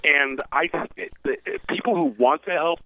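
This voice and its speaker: A male stage performer who is a guest on a radio show, telling lively anecdotes over the phone and laughing as he talks. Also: a little high, moderate pace, no room sound. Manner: animated storyteller who laughs while talking. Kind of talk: phone interview. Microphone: telephone. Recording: tinny phone line.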